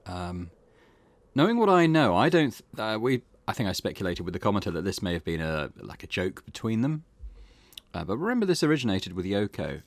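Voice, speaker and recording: Let's play host, man, lowish voice, animated, muses aloud and self-corrects. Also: no room sound. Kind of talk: game commentary.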